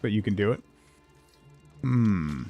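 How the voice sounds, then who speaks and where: A man's voice: fairly low pitch, lively intonation, takes it slowly, muffled recording, faint music behind. A man chatting casually as he livestreams a game, muttering asides to himself and his viewers. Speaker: game streamer. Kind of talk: casual livestream gameplay chat.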